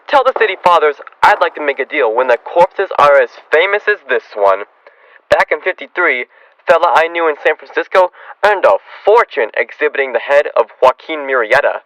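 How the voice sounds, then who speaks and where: A man's voice: fairly high pitch, a bit bright, rough recording. A man acting a wry, ghoulishly cheerful, money-minded frontier doctor in a radio western, chatty and drily gleeful. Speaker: actor in a student radio drama.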